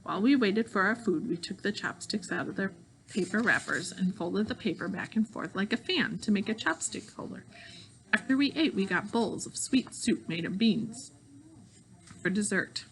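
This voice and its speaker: A woman reading a children's novel aloud in an expressive storytelling style. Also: mid-range voice, normal speed, a little hiss or hum, poor audio. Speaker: audiobook reader of a children's novel.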